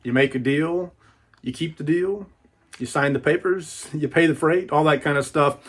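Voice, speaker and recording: A man with a slightly high-pitched voice talks informally as a collector showing off a purchase. His delivery is folksy and rambling, in the casual style of an unboxing video. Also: moderate pace, a bit bright, breathy edge. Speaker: book collector reviewing an unboxing.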